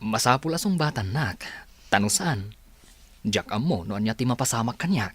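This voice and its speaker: A man's voice: mid-range voice, animated, moderate pace, a bit bright, husky. An actor with an Ilocano accent playing an earnest young man courting a woman, in a radio drama. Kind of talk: radio drama acting and closing narration.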